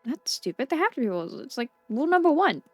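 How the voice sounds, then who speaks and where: A woman's voice: high-pitched, sing-song, fast talker, no echo, faint music behind. A woman voicing an intense, rule-bound, easily exasperated female video game character with an emphatic, insistent manner. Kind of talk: video game dialogue read aloud.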